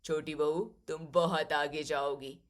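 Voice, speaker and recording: A commanding older mother-in-law from a storybook family drama, acted out by a woman. Her voice is low and firm, and she hands down curt rulings with stern authority. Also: fairly even intonation, unhurried. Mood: stern, challenging.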